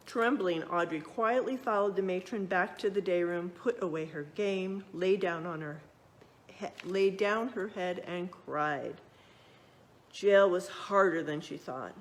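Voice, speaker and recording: A woman reading a children's picture book aloud, expressively, pausing to check in with young listeners. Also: on the low side. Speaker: read-aloud storyteller.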